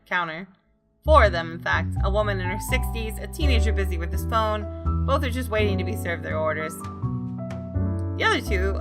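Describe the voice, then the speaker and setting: A woman gamer reading a visual novel's first-person story aloud as expressive narration. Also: lowish voice.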